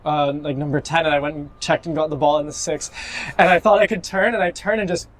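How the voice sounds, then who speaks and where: A man's voice: on the high side, steady tone, fast talker. A young man from Toronto with a Canadian accent, talking casually as an interview guest about himself. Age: young adult. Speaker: guest, university soccer player. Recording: faint background ambient noise.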